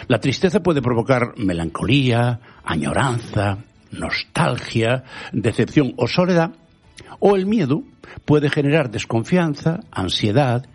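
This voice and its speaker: A man whose first language is Galician, hosting a radio show with a measured, rhetorical scripted editorial. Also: medium pitch, breathy edge. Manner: measured, rhetorical, quotes proverbs and thinkers.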